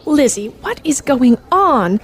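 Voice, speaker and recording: A woman performs a doubting, anxious young woman in an old-fashioned radio drama. Her high voice rises and falls, fretful and pleading. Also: sing-song, slow, heavily acted. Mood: worried.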